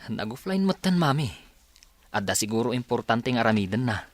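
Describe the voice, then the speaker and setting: An actor with a Filipino accent playing an earnest, respectful grown son in a radio drama. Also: male voice, mid-range voice, sing-song, fairly quick, no room sound, close to an everyday voice. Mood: earnest, worried. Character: respectful adult son confiding in his mother.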